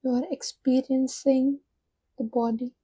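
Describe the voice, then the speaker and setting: Soft, breathy, very slow woman guiding meditation. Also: high voice, little pitch movement, no room sound. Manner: soft, soothing, unhurried instruction with long pauses. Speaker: meditation guide. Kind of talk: guided meditation.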